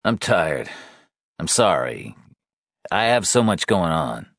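Narrator's own low voice as worn-out husband; weary, clipped, deep and dry. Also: male voice, sing-song, slow pace, fairly bright tone, dry recording, exaggerated voice. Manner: weary, clipped dialogue reading. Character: tired, defensive adult husband.

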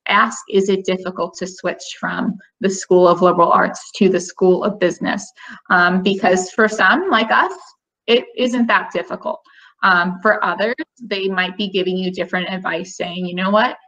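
A woman's voice: on the low side, steady tone, normal speed, fairly bright tone, rough recording. A young woman from a college admissions office answering webinar questions in a friendly, explanatory way with many fillers, over a video call. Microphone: video call webcam.

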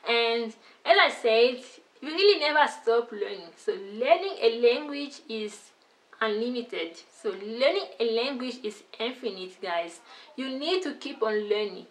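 A woman's voice: on the high side, low-quality recording. A young woman who learned English after French schooling speaks with a French-influenced accent in casual talk to camera, upbeat and smiling, often turning to her viewers with "you know, guys.". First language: not English; schooled in French. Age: young adult. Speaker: YouTuber giving language tips. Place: indoors against a plain wall.

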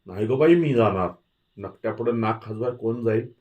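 Man voicing a soft, devoted village woman. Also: lowish voice, moderate pace, phone-quality sound, lightly acted. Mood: coaxing. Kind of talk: audiobook character voice.

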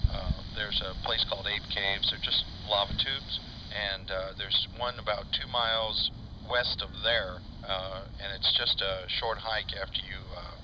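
A man's voice: lowish voice, fairly even intonation, unhurried. A man heard through a two-way radio, chatting casually in a folksy, hesitant way as an amateur operator. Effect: voice heard over two-way radio speaker. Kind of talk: casual ham radio chat. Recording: distant muffled radio speaker, heavy noise.

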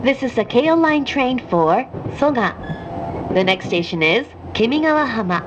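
Measured woman's voice announcing train stops. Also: lively intonation. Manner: formal, carefully enunciated. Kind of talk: scripted in-car station announcement. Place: inside a train car, played over speakers. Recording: muffled, noisy recording inside a moving train. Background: train rumble and noise.

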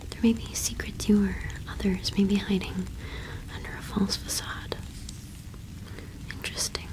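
A young woman whispering gently and unhurriedly in a casual ASMR roleplay, close to the microphone. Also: medium pitch, flat delivery, normal speed, rough recording. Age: young adult. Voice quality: breathy whisper. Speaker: ASMR roleplay performer playing a shopkeeper witch.

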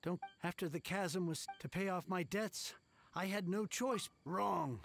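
A weary, dejected male author for a video game. His voice creaks and grumbles with bitter resignation. Music is clearly heard underneath. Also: high voice, measured pace, a bit bright, heavily acted. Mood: bitter, curt.

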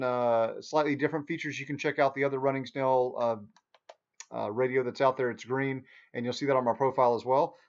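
A man in his forties giving a casual, folksy, unscripted product review to camera in a small room at home. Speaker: product reviewer, video host. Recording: dull, muffled low-fi sound.

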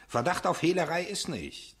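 An actor playing a self-assured, condescending police inspector with a teasing manner, in a radio drama. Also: male voice, a little high, lively intonation, normal speed, a bit bright. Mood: dismissive. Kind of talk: German radio drama acting.

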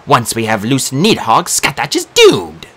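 A man voicing a trio of cold, ancient Valkyrie warrior women in an audiobook, slow and threatening. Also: mid-range voice, huge pitch swings, a bit bright, slightly rough, heavily acted. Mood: menacing. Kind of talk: audiobook character voice.

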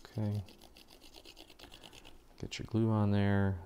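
A man hosting a casual step-by-step paper craft tutorial, chatty and relaxed, thinking aloud with fillers as he works. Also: fairly low pitch, slow pace, lo-fi sound, a little hiss or hum.

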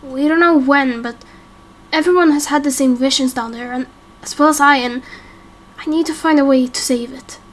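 A woman doing amateur voice acting for a game roleplay story, with a hesitant, halting dramatic delivery. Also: sits high.

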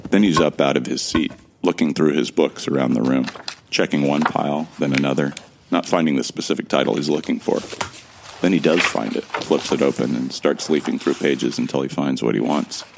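Really deep gravelly guy narrating his own audio drama, earnest, kinda bewildered. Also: steady tone, a little fast, noisy background. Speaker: narrator and lead actor.

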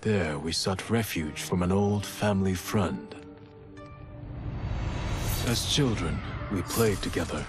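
An actor playing a solemn, honourable martial-arts clan leader, narrating formally and with restraint in a video game. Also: male voice, deep voice, slow pace, pauses often, music in the background. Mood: solemn, resolute.

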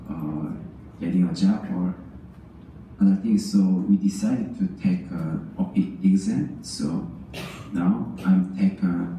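A Korean-native man works haltingly through a recorded English exam answer, full of pauses and fillers. His voice sits very low and sounds dark. The recording is played back into a reverberant classroom and picked up from a distance. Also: a little hiss or hum. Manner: slow, halting, with many fillers. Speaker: student answering an exam question. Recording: roomy sample played over speakers, far.

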